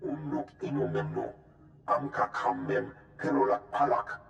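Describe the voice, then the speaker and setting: A man voicing a gravelly alien who speaks a guttural invented tongue in a video game. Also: fairly low pitch, moderate pace, soft background music. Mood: solemn. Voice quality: gravelly, creaky. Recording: roomy echo.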